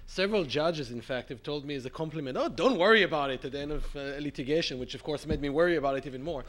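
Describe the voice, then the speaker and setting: A man with an Israeli accent giving a conference talk as a law professor, with a nasal voice and congested from a cold, talking in an animated way and often tagging his points with "right?". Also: a little high, quick pace. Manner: animated, frequent "right?" asides, self-deprecating.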